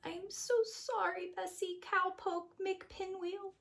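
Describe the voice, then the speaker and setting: A woman's voice: very high-pitched, flat delivery, takes it slowly, fairly bright tone, pitched above the performer's own voice, full character voice. A woman voicing a whiny, reluctant fairy-tale boy who complains and talks back in a children's storybook. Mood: sorrowful. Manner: complaining, protesting, exclaiming. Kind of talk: children's storybook read-aloud.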